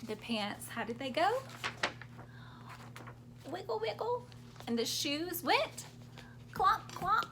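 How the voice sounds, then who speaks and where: A woman's voice: extremely high pitch, big pitch swings, slow pace, long pauses, noisy background. A woman reading a story aloud to young children expressively, prompting them to join in as she goes. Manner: interactive, prompting children with questions and sound words.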